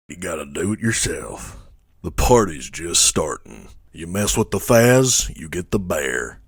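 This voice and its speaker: A man voicing a cocky, menacing animatronic showbiz bear for a video game, gravelly and theatrical. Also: sits low, dry recording, studio quality, heavily acted. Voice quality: gravelly, raspy. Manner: swaggering, theatrical showman. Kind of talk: video game character voice lines.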